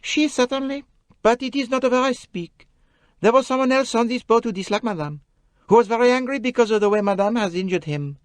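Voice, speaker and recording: High, bright French-accented young maid, voluble and pleading, fluttering pitch, close and dry, a male reader putting her on in an audiobook. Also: brisk, dry recording. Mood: emphatic. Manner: pleading, emphatic, broken English phrasing. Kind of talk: audiobook character voice.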